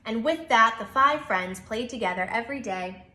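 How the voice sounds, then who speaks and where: A woman's voice: a little high, moderate pace, a little hiss or hum. A woman preschool teacher speaking expressively as she leads a children's story time. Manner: expressive, animated delivery. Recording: roomy, echoey room.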